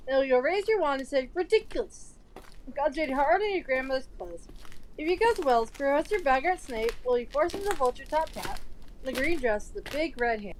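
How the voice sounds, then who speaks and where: A woman playing a calm, encouraging male teacher of magic in an amateur audio drama, gently giving instructions. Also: high voice, normal speed. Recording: noisy home microphone recording.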